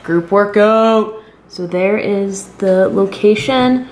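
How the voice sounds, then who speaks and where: A woman's voice: mid-range voice, slow pace, darkish tone. An American woman in her thirties chatting casually and explaining things as a fitness vlogger, recorded on camera at home. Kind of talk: casual vlog chat and explaining. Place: home kitchen, indoors. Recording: roomy kitchen with some background noise.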